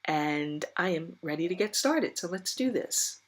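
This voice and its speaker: Low-voiced woman with a stuffy nose. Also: animated, a little slow. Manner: chatty and rambling, with fillers and soft laughs. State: stuffy nose, losing her voice. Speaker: scrapbooking vlogger. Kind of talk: casual crafting chat.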